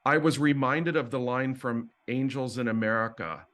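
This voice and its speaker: A man conducting an interview in a measured, deliberate way. He speaks at a middle pitch. He builds his questions slowly and carefully. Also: fairly bright tone. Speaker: interviewer, host.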